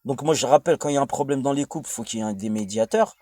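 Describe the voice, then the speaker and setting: A man's voice: medium pitch, racing, dark tone, dry recording, studio quality. Blunt, rambling man with a French accent. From France. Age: adult, father of a young child. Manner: slangy, blunt, crude, digressive, repetitive. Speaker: vlogger. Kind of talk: rambling informal monologue.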